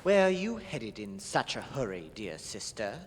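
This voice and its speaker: An actor playing a cruel young nobleman who threatens his sister, in a low, menacing voice. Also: sing-song, measured pace, long reverb tail, slight background noise. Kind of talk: audio drama acting.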